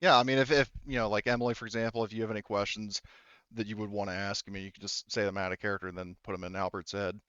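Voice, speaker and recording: Smooth but dull-sounding halting male game master. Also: lowish voice, little pitch movement, a bit bright, dry recording. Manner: halting, speaks for characters in indirect speech. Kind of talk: improvised narration of a tabletop roleplaying game.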